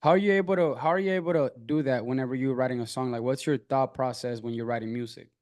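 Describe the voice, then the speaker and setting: Man, from Venezuela, interview host, mid pitch, halting friendly questions. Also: darkish tone. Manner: friendly, stumbling restarts, lots of "um". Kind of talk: casual interview.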